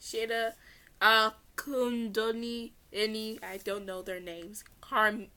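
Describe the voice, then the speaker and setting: A woman with a fairly high, bright and forceful voice reads a story aloud in an amateur read-along style. She trips over words, corrects herself and sounds giggly. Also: little pitch movement, takes it slowly. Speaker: amateur storyteller reading fan fiction aloud.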